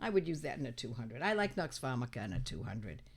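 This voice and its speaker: An older woman with a raspy voice from a cold, teaching homeopathy in an animated, emphatic casual talk, often repeating her points. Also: extremely low pitch, fast talker. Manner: animated and emphatic, repeats phrases, talks to viewers as friends. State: hoarse from a cold. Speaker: homeopathy teacher hosting her own channel.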